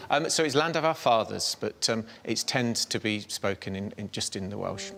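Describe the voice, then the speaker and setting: A man's voice: lowish voice, lively intonation, normal speed, gravelly, fairly bright tone, in a large hall, faint music behind. A man acting as a museum guide, explaining history informatively with some hesitant fillers, indoors in a museum. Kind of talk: explaining to a presenter.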